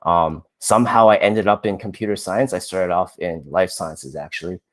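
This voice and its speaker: A man in his thirties from Canada, chatting casually on a podcast, relaxed and self-deprecating with lots of fillers. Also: low-pitched, moderate pace. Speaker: podcast co-host, software engineer.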